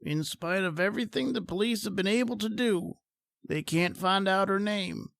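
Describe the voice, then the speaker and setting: A woman playing a knowing, wry morgue attendant with a deliberate, drawn-out delivery in a 1940s radio drama. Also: mid-range voice, vocal fry, no room sound, lightly acted. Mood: wry, matter-of-fact.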